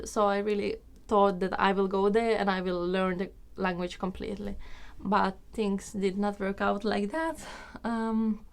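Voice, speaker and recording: A woman's voice: mid-range voice, vocal fry. A young woman in her twenties from Finland, with a Finnish accent, telling a personal story casually as a vlogger. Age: 20s. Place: small room indoors.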